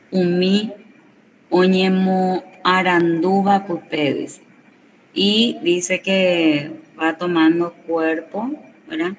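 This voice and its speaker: A woman teaching a class, explaining slowly and often checking that students follow. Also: fairly low pitch. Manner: slow explanatory, checks understanding with tag questions. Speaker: Guarani teacher. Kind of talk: classroom lesson. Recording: recorded from a distance with faint background noise.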